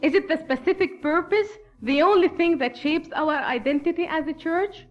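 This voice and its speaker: A woman from Bethlehem whose first language is Arabic, speaking English with an Arabic accent, giving an earnest, measured formal address at a church gathering. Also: high voice, highs cut off. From Palestine, Bethlehem.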